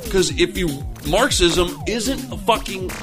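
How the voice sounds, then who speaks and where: A man's voice: high voice, unhurried, music in the background. A man in a casual political debate among friends, swearing freely and pressing his points emphatically. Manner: profane, emphatic, argumentative.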